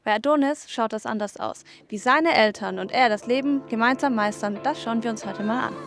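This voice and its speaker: A woman's voice: fairly high pitch, fairly quick. A young woman with a German accent, working as a reporter in a casual interview style.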